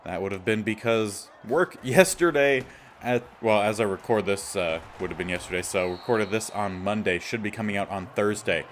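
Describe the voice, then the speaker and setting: A man with a slightly raspy voice giving casual gameplay commentary as the host of a gaming series. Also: lowish voice, moderate pace, slight background noise.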